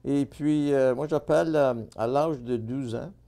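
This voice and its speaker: A middle-aged man tells his life story in an interview, earnest and unpolished, stumbling over fillers. His voice is gravelly and dark, with a soft, mellow tone. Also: medium pitch, unhurried. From born in Italy, raised in Montreal. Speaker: political candidate. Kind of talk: casual interview conversation.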